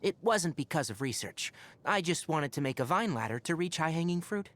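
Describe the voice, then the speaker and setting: An actor playing a young fox-eared forest ranger in a video game, scholarly and patient, speaking in an articulate, instructive and gently wry way. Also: male voice, a little high, lively intonation, fairly quick. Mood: wry, measured. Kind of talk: scripted game character voice lines.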